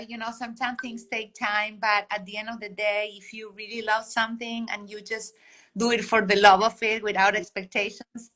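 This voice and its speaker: Bright upbeat woman from Argentina. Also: mid-range voice, rough recording. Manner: earnest and upbeat, with many fillers. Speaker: guest, life coach. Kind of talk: casual video interview.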